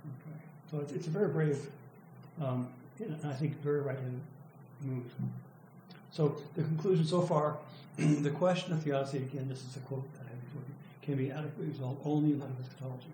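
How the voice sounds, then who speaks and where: An older man giving an academic lecture, his voice going hoarse from overuse, speaking thoughtfully with halting restarts. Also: a little high, steady tone, dark tone. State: losing his voice, clearing throat. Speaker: professor presenting a student's work. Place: lecture room. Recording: echoey room with faint noise.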